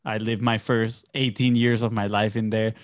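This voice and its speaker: A man's voice: on the low side, level tone, moderate pace, no echo, clean studio sound. Upbeat young man from Venezuela. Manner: upbeat, loose storytelling with many fillers. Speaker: podcast guest, entrepreneur. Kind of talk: casual interview chat.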